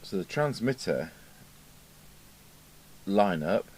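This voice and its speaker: A middle-aged British man giving an informal, unscripted hands-on demonstration, musing aloud with hesitant pauses. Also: lowish voice, big pitch swings, darkish tone. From United Kingdom. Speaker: radio repair technician. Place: indoor radio repair workbench. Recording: clearly noisy home workshop recording.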